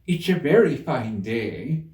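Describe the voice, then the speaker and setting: A timid storybook rabbit, acted out by a man, speaks in a roomy space, a little off the mic. The voice is hushed and anxious, halting and whispering as if afraid of being overheard. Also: mid-range voice, big pitch swings, far from the mic. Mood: anxious, secretive. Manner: hurried, nervous, halting.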